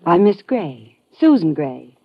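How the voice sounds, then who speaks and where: Coy female travel agent, low, husky voice, inquisitive and suggestive, radio noir. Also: sing-song, slow, full character voice. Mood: coy, probing. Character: smooth, probing, flirtatious female travel agent. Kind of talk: old-time radio drama acting. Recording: muffled old radio recording.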